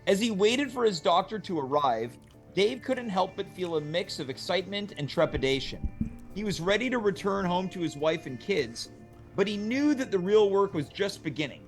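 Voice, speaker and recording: A man's voice: high voice, sing-song, normal speed, faint music behind. A man hosting a casual show, chatting and reading scripted text aloud. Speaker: show host.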